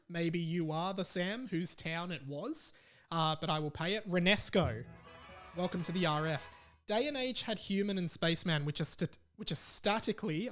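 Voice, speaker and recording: A young adult man with an Australian accent chats casually and informally as he hosts his solo podcast. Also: high voice. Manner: informal and chatty, reads out listener messages. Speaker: podcast host.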